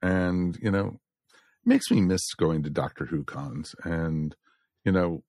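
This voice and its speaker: A man's voice: sits low, slow, a little mellow, dry recording. An American man from the Southeast co-hosting a podcast, chatting casually and addressing listeners in a folksy way. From southeastern United States. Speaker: podcast co-host. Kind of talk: casual chat.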